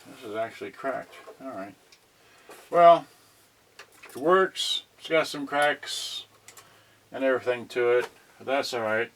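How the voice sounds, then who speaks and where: A man's voice: mid-range voice, measured pace, pauses often, a bit bright. A man giving a casual, unscripted unboxing as host, rambling and thinking aloud, often trailing off mid-thought. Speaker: host of an electronics unboxing channel. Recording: noisy home video with clicks.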